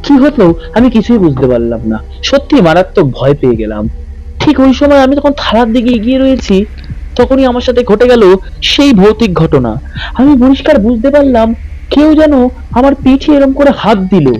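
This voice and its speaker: A man's voice: extremely high pitch, very animated, moderate pace, a little mellow, muffled recording. A man narrating in a dramatic, suspenseful ghost-story style as a channel host. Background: background music.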